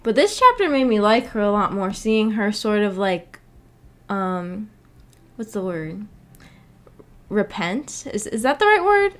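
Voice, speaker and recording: A woman's voice: mid-range voice, big pitch swings, unhurried, pauses often, fairly bright tone. A woman streamer chatting casually with her viewers, hesitant and giggly as she searches for words. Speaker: streamer hosting a visual novel book club. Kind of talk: casual livestream chat, reacting to viewer comments.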